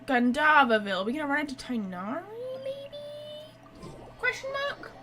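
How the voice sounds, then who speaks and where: A woman's voice: sits high, huge pitch swings, moderate pace, pushed and bright, soft background music. A woman giving chatty, informal live gameplay commentary, thinking aloud as she goes. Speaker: game streamer.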